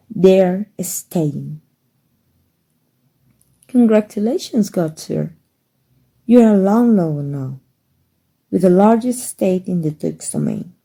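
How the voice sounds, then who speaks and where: A woman playing a kind, playful young princess in love, regally confident, with soft, teasing, courtly phrasing in a romantic ASMR roleplay. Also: on the low side. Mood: regal, wry. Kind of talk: romantic ASMR roleplay, fairy-tale storybook acting.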